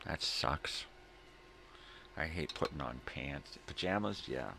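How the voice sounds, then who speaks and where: A man's voice: a bass voice, animated, slow pace, creaky, sharp tone. A middle-aged man in a rambling casual morning chat, with a laid-back stoner drawl and a habit of repeating himself. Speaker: host of a daily morning video log. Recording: noticeable background noise.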